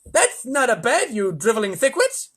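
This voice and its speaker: Man putting on a raspy, blustering President. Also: big pitch swings, a little fast, fairly bright tone, exaggerated voice. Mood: furious. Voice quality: deep rasping. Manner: pompous, barking, impatient. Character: blustering, hot-tempered male President of the United States.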